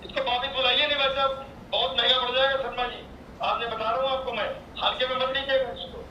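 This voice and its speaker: A man's voice: extremely high pitch, little pitch movement, fast talker, pushed and bright, far from the mic. A male legislator on a recorded phone call, insistently scolding and threatening the person on the other end. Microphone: phone call replayed through a speaker. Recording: muffled leaked phone call, echoey playback, noisy.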